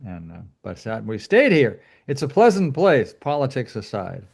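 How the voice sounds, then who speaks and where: A man's voice: mid-range voice, big pitch swings, moderate pace, vocal fry, a little mellow, husky. An older man, casually introducing himself.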